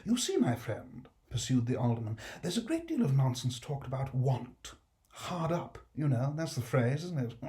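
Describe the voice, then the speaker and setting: Guy doing a pompous alderman, jolly and condescending, warm low tone. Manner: patronizing, jovial, chuckling. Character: smug pompous male alderman, condescending magistrate.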